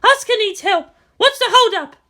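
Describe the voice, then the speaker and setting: A woman voicing a furious, desperate female military commander in a fan fiction reading, shouting and frantic. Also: very high voice, expressive, moderate pace, pitched above the performer's own voice, full character voice. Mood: urgent. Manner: shouting, foul-mouthed, frantic.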